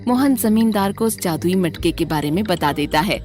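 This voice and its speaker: A woman's voice: on the low side, animated, normal speed, a little mellow. Female story narrator over faint music. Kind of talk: scripted children's story narration.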